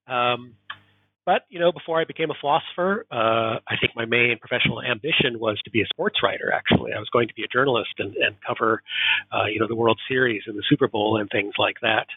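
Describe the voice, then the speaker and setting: A man's voice: medium pitch, quick pace, breathy edge, no room sound. An American man from Oregon, a professor, answering interview questions thoughtfully with many fillers. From Oregon, United States. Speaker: guest professor. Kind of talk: interview guest. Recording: tinny phone-like remote line.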